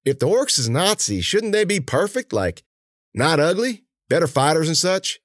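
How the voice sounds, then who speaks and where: A man voicing a dim, doubtful henchman who asks puzzled questions in rough grammar in an audiobook story. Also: fairly high pitch, big pitch swings, moderate pace, no echo, studio quality, voice pushed up.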